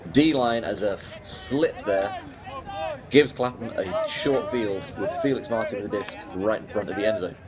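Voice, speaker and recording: A man giving live play-by-play commentary on a match, steady and informative as he reads each move. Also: lowish voice, unhurried. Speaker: sports commentator. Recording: loud crowd behind commentary.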